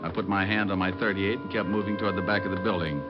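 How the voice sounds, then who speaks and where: Actor as a low-voiced, wisecracking radio detective. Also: male voice, fairly even intonation, quick pace, lightly acted. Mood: dry, wry. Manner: dry, sardonic wisecracking. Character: wisecracking, cocky male private detective, narrating and acting. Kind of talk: old-time radio detective drama, first-person narration. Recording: muffled old radio recording with music.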